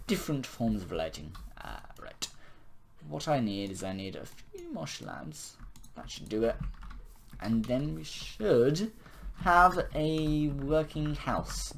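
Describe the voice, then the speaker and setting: A hoarse young man with a nearly lost voice, chatting casually and mocking himself while he builds in a game. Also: mid-range voice, a little hiss or hum. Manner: self-mocking, chuckling, rambling. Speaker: gaming video creator.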